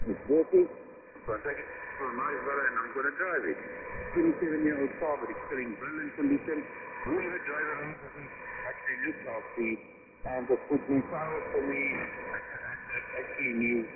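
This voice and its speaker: A man's voice: medium pitch, very animated, moderate pace. A man in his forties with a South African accent being interviewed casually by phone, speaking emphatically and often repeating himself. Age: 40s. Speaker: interviewee, car owner. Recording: tinny shortwave radio, phone line, static.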